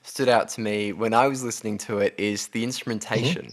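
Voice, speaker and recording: A man with a slightly low voice co-hosts an interview about music. He asks his questions conversationally and informally. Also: steady tone, a little fast. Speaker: interview co-host. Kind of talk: casual interview chat.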